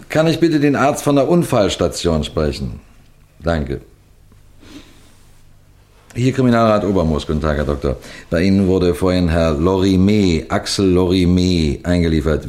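A man acting a shrewd middle-aged police detective in a radio mystery, with a deliberate, lecturing manner that builds suspense through pauses. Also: low-pitched, expressive, a little mellow. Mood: brisk, inquiring. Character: shrewd, unhurried senior police detective explaining a case. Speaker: detective narrator.

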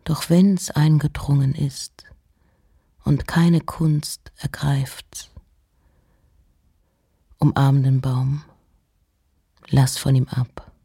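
A reader delivering letters and poems in a measured, hushed and breathy voice, with deliberate pauses. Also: medium pitch, flat delivery. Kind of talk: literary reading of letters and poems.

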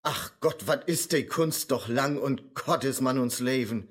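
An actor playing a naive, curious, lamenting admirer of a learned doctor in a rhymed radio play, with a Low German accent. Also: male voice, measured pace, husky. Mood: plaintive. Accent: Low German, northern Germany.

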